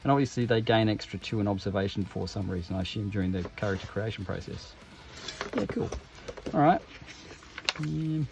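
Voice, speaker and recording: Gravelly, rambling middle-aged man. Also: fairly low pitch, lively intonation, brisk, long pauses, darkish tone, low-quality recording. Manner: rambling, self-deprecating, reads passages aloud. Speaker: YouTube unboxing host. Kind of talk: casual unboxing commentary.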